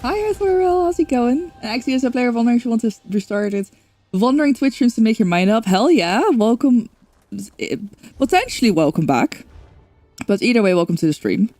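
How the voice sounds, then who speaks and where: A woman's voice: on the high side, animated, fairly quick, dark tone, dry recording, soft background music. A woman from the Netherlands with a Dutch accent, chatting casually while streaming a game, rambling with lots of fillers. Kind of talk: casual gameplay stream chat.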